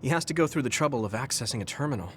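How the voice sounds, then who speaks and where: A man playing an earnest, caring young soldier in a video game, speaking sincerely and clearly. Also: mid-range voice, animated, racing, a bit bright, slight background noise, close to an everyday voice. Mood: concerned, helpful. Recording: background music under dialogue. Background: game soundtrack music.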